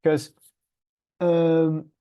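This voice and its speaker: A young man from Portugal with a Portuguese accent, giving conversational interview answers in a halting way, searching for words and repeating phrases. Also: fairly high pitch, monotone, dark tone, clean tone. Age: young adult. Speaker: podcast guest, polar science PhD student.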